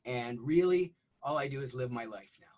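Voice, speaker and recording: A middle-aged man, a rock vocalist, talking in a casual interview with a smoky, raspy voice and lots of restarts. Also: medium pitch, animated, normal speed, darkish tone. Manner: rambling, frequent restarts and fillers.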